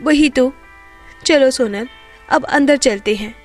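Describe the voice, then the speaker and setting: A poor, protective elder sister from a bedtime story, played by a woman. The voice is very high and unhurried, with a sorrowful temper. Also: soft background music.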